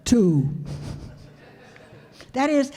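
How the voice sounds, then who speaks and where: A woman's voice: a little high, sing-song, extremely slow, warm tone, exaggerated voice. A woman playing a wry, exasperated schoolteacher who tosses off dry quips in an old-time radio comedy. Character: wisecracking, exasperated female high-school teacher. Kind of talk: 1940s radio comedy acting. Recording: very echoey hall stage recording.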